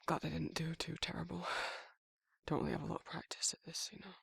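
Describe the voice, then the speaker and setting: Husky, gravelly, bashful werewolf man, acted out. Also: medium pitch, flat delivery, fast talker, fairly bright tone, no echo, clean studio sound, exaggerated voice. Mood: bashful, nervous. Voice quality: husky, breathy, gravelly, often whispering. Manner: hesitant, rambling, stammering, bashful. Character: shy, awkward, protective male werewolf, big and tender. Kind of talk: intimate romantic ASMR roleplay.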